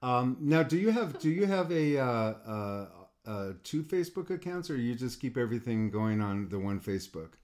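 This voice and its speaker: A man's voice: mid-range voice, big pitch swings, moderate pace, hardly any pauses, darkish tone. A middle-aged man, an art coach hosting a podcast interview, who often repeats words as he starts a thought. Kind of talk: interview conversation.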